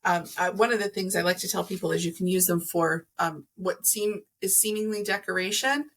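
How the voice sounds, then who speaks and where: A woman's voice: on the low side, fairly quick, fairly bright tone, slight background noise. A woman speaking as a podcast guest, explaining things conversationally and rephrasing as she thinks aloud. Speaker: podcast guest, energy healer. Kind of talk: conversational explaining of crystals and chakras.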